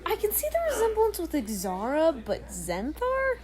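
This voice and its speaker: A woman's voice: very high-pitched, wildly swooping pitch, normal speed, darkish tone, exaggerated voice. A woman playing a brash, nosy, foul-mouthed space biker in an audio drama, blunt and irreverent. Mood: bored, needling. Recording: music under the voice.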